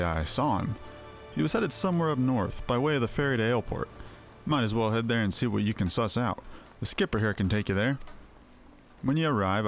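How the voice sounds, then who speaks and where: A man voicing a helpful, plain-spoken dockside local in a video game, with folksy, rustic phrasing. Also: on the low side. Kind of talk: voiced video game dialogue.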